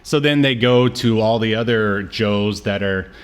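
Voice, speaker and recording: A man with a fairly low voice talks through a show as a podcast co-host. His delivery is casual and halting, wandering as he goes. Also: clear, resonant tone. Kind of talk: casual chat recapping a show.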